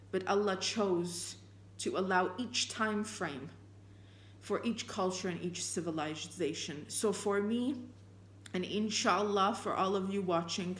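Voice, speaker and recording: A woman whose first language is Armenian, reflecting earnestly on faith and reading passages of scripture aloud, thinking out loud with fillers and restarts. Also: fairly low pitch, slight background noise. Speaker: spiritual vlogger. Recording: echoey room.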